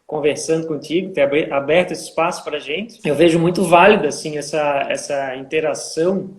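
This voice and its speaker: Casting: man, light high voice, steady explainer, echoey video-call room. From Florianópolis, southern Brazil. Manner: measured, explains with many fillers. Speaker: guest, vacation rental manager. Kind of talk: casual live interview.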